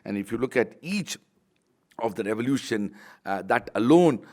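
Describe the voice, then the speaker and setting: A middle-aged man with an Indian English accent, a Tamil native speaker, giving a formal speech at a book launch as a university vice chancellor, with measured academic phrasing and frequent pauses. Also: medium pitch, expressive, a little mellow.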